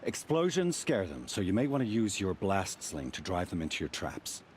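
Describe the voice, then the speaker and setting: An actor playing a seasoned hunting trial keeper in a video game, instructive and approving, encouraging but exacting. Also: male voice, sits low, sing-song, moderate pace, darkish tone, a little hiss or hum. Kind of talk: video game character dialogue. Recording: music behind the voice. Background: game music.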